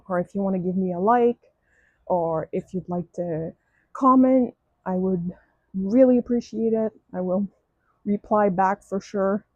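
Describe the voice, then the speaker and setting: French-accented woman gushing over craft stuff, mellow husky voice, kinda dull muffled recording. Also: medium pitch, no room sound. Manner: chatty, gushing, frequent restarts and "um"s. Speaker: crafting vlogger. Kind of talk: casual unboxing chat.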